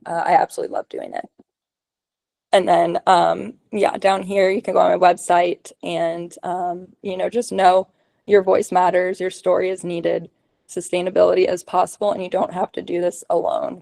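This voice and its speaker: A woman giving a casual webinar presentation on a video call, running through tips as lists with many ums and likes, her voice going hoarse. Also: lowish voice, darkish tone, no echo. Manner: casual, lots of ums and likes, list-like delivery. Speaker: podcast production consultant.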